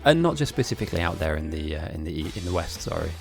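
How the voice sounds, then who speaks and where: A man's voice: low-pitched, big pitch swings, quick pace, creaky. A man with an English accent who grew up speaking German and Italian, streaming a game and chatting loosely with viewers while reading their messages. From grew up in Germany and Italy. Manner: rambling, chatty, laughs often. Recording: faint music behind.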